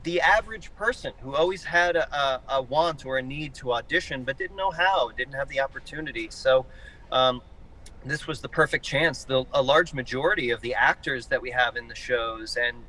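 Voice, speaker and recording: A man's voice: mid-range voice, moderate pace, sharp tone, rough recording. A man giving enthusiastic, explanatory answers as a guest in a live interview. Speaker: interview guest, theater artistic director.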